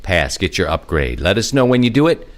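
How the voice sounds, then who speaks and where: A man's voice: low-pitched, very animated, a little fast. A man hosting a casual hobby podcast, making announcements in a folksy, informal way with bits of slang. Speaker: podcast host.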